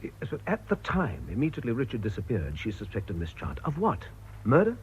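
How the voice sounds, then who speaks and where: An actor playing a brisk, inquisitive organiser with a British accent and a dramatic, probing manner in a radio drama. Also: male voice, mid-range voice, huge pitch swings. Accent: British English. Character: brisk, inquisitive man in charge, urbane organiser. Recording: dull lo-fi radio recording with noise.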